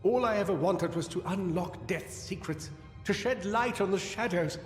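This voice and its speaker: Fantasy game gnome scientist, male actor: slightly high voice, weary and paranoid, theatrical anguish, cavernous reverb. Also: close to an everyday voice. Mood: weary, regretful. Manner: theatrical, anguished, halting and musing. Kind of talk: dramatic fantasy video game voice acting. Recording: echoey cavern-like reverb over music.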